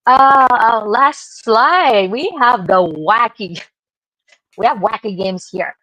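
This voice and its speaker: A woman's voice: on the high side, slow, pushed and bright, no echo, low-quality recording. Enthusiastic woman presenting games, dry sound. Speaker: gaming show presenter. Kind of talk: casual chat presenting games.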